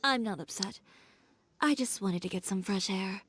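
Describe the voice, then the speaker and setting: A woman's voice: medium pitch, moderate pace, noisy background, natural voice. Woman voicing a proud, assertive young tailor. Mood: composed. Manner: cool, assertive and matter-of-fact.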